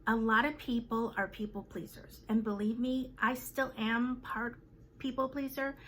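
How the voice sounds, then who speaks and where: Vlogger, woman, 50s, talkative and unscripted, somewhat high voice. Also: fairly even intonation, fairly bright tone, slight background noise. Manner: chatty, with many fillers and trailing pauses. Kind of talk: casual chat to camera.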